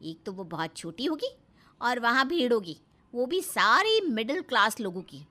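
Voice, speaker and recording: Female actor as haughty young mother, vain, condescending, animated swooping pitch, sharp tone, English phrases mixed in, cartoon story. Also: normal speed, full character voice. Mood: haughty scorn. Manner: condescending, sprinkles English phrases into her talk. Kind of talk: Hindi cartoon story acting.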